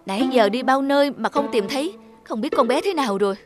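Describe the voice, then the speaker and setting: A man's voice: very high voice, animated, fast, over loud music. A man playing a stern, reproachful husband and father in a dramatic cartoon. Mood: angry, blaming.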